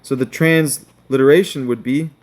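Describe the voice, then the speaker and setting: A man giving a screen-share tutorial, talking slowly and checking in with his viewers. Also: mid-range voice, steady tone, a little mellow. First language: not Hebrew. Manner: slow, checking in with "okay?". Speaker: online teacher.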